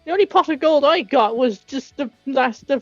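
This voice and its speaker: Irish woman rambling to her friend, high pitched, no echo, bit of a dull recording. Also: animated, moderate pace. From Ireland. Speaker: co-host of a fan chat. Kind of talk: casual chat between friends. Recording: muffled lo-fi audio with music behind. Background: music.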